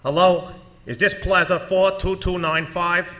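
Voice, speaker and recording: An actor playing an impersonal telegram clerk in a radio drama, reciting in a formal, mechanical, official manner. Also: male voice, high voice, fairly even intonation, unhurried, bright, forceful tone. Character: impersonal telegram company clerk reading a message. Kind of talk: old-time radio drama acting.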